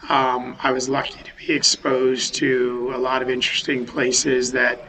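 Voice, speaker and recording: Man from western massachusetts, bright crisp voice, halting, video call interview. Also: mid-range voice, monotone, poor audio. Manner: thoughtful, restarts sentences, many um and you know. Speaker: company founder, guest. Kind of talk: remote podcast interview. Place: home or office in a city.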